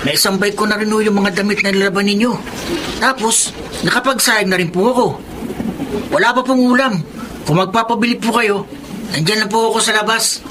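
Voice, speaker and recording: A man's voice: high-pitched, steady tone, fairly quick, background noise, natural voice. An actor playing a humble, timid young man who talks in a meek, polite, hesitant way. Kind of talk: radio drama acting.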